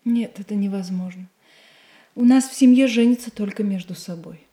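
An actress playing a gentle, resigned young village woman in a radio play, soft and wistful. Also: mid-range voice, normal speed, lightly acted. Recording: echoey room.

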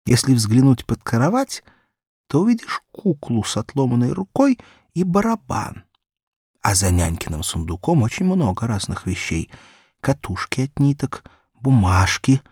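A man tells a children's story in a lively, animated voice that rises and falls freely. His tone is low with a slightly husky edge. The sound is close and dry, like a studio booth. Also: dry recording. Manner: expressive, lively storyteller's delivery. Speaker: audiobook narrator. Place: recording studio.

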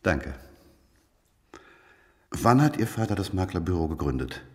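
Detective inspector for radio drama: low, rough-edged, measured, unflappable but stubborn. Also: male voice, sing-song, darkish tone, heavily acted. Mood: businesslike, calm. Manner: measured, businesslike questioning. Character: police detective inspector, calm, matter-of-fact, quietly stubborn. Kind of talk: German radio drama acting.

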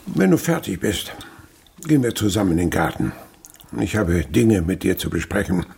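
An older man playing a stern, miserly old father in a radio drama, sarcastic and blustering, with a gravelly voice. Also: sits low, lively intonation, darkish tone, heavily acted. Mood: gruff, menacing. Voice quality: gravelly, husky. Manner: sarcastic, blustering, drawn-out words.

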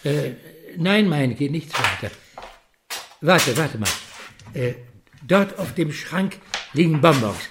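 A gentle old village grandfather in a radio drama. He speaks very slowly, with lively rises and falls, coaxing and hesitant, over noticeable background noise. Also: on the high side. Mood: kindly, insistent. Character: kindly old grandfather offering sweets to children.

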